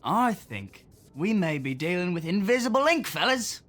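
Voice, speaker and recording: A woman voicing an excitable young amateur scientist street kid in an audio drama. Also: on the low side, normal speed. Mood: absorbed. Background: violin music.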